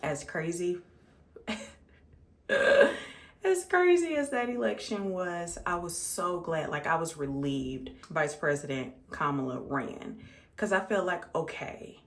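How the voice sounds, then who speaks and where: A woman's voice: lowish voice, animated, slow, long pauses. A 35-year-old American woman chatting casually and conversationally to her viewers as a makeup vlogger, repeating words for emphasis. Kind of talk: casual chat to viewers while doing makeup.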